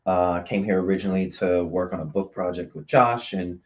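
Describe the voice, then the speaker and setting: A man's voice: sits low, fairly even intonation, fairly quick. A man speaking as an interview guest in a casual, reflective and appreciative way. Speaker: guest, theater marketing director. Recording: slightly dull, lo-fi sound.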